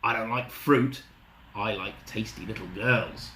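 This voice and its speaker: A storyteller voicing a big, hungry, sly male wolf with a scratchy growl and drawn-out menace. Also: mid-range voice, pushed and bright. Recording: roomy, echoing room.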